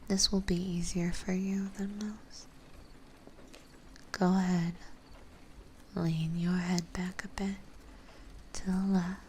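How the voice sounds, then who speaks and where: Woman playing a slow, soft-voiced vampire mistress. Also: fairly low pitch, little pitch movement, slight background noise. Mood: intimate, coaxing. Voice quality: soft, breathy, often whispered. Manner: slow, coaxing, refined and reassuring. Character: dominant, gentle, doting female vampire mistress. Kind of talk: intimate ASMR roleplay.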